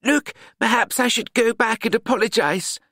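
A man voicing a gentle, earnest young male misfit in an audiobook, precise, formal and apologetic. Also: extremely high pitch, moderate pace, no room sound, studio quality, voice pushed up, exaggerated voice. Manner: precise, formal, overly polite.

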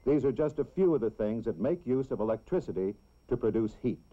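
An American man narrates in the manner of a 1960s classroom film. His delivery is deliberate and simple, pitched at children, with a mid-range voice. The sound is dull and lo-fi, like an old film soundtrack. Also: animated.

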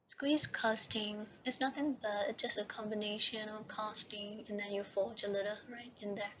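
A woman lecturing over slides for a university class, often checking in with "right?" and slowing down to read slide headings. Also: mid-range voice, steady tone, fairly bright tone. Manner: repeats "right?" and "okay?" as checks. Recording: dull lo-fi voice-over with faint hiss.

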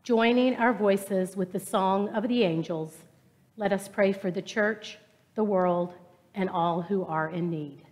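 A woman leading prayers in worship, reading slowly and gently. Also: mid-range voice. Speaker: worship leader. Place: church sanctuary. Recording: long church reverb from afar.

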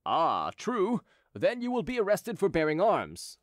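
A young patrol officer, voiced by a male narrator in a storybook. He speaks gravely and formally with steady courtesy, in a dry recording. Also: high voice, a little slow, dry recording, pitched above the performer's own voice, exaggerated voice. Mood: firm, polite. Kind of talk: audiobook character dialogue.

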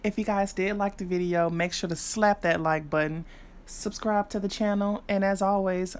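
A woman's voice: mid-range voice, fairly even intonation, normal speed, clean tone. A young woman hosting her own cooking vlog, chatting brashly and cursing freely as she talks viewers through a recipe in her home kitchen. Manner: brash, profane, chatty, speaks straight to viewers. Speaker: cooking vlogger. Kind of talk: casual cooking walkthrough.

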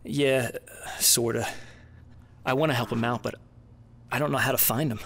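A troubled young man in an audio drama, distracted and halting. His pitch is an ordinary middle one. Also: fairly even intonation, a bit bright. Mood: worried, subdued. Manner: hesitant, halting.

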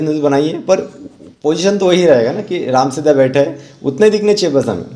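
Mellow-voiced Indian man teaching drawing. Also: a little high. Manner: instructional, encouraging. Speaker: rangoli art teacher and channel host. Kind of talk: informal step-by-step drawing tutorial.

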